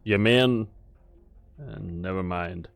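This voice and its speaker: A man's voice: on the low side, takes it slowly, creaky, faint music behind. A man playing an uneasy, hesitant subordinate on a covert team in an amateur game dub. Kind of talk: English fan dub of a game story.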